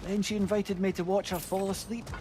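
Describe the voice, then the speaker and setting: A man's voice: high voice, little pitch movement, brisk, noisy background, natural voice. A man playing a chatty, sentimental older companion in a video game, telling tales with wry expression. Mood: wistful, wry. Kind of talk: dramatic video game acting.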